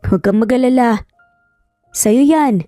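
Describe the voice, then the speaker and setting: A woman's voice: fairly high pitch, measured pace, dark tone, no echo, faint music behind, lightly acted. A woman voicing a curt, bossy, rich male employer in a romance audio story, commanding and dismissive. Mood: smug, curt.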